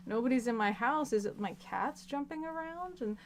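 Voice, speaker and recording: A woman's voice: sits high, lively intonation, unhurried, a little hiss or hum. Chatty woman telling stories on a livestream. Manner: storytelling with laughs and mimicked dialogue. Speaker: livestream host. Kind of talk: casual chat to viewers.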